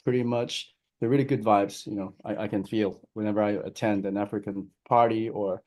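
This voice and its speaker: A man's voice: fairly low pitch, fairly even intonation, a little fast, a little mellow. Friendly, hesitant man on a video call. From China. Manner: friendly, hesitant, frequent restarts and fillers. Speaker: guest speaker. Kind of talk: casual remarks on a video call.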